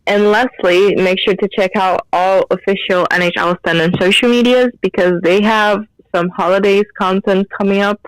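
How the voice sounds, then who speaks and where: A young adult woman with a Dutch accent, co-hosting a student radio show in relaxed, slangy casual chat. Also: medium pitch, normal speed, few pauses, clear, resonant tone, dry recording, poor audio. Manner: relaxed, uses slang and fillers.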